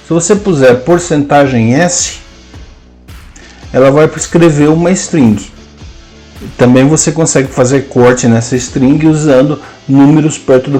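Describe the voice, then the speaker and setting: A man with a Brazilian Portuguese accent teaching a programming lesson, dictating code symbol by symbol with hesitant pauses. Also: mid-range voice, dark tone. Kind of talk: slow screen-cast coding lesson, dictating code aloud.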